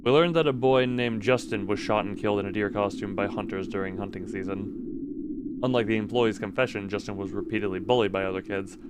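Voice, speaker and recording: A man's voice: fairly low pitch, steady tone, fairly quick, background noise. A man doing casual game livestream commentary and reading game text aloud, often tripping over words and correcting himself. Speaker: game streamer.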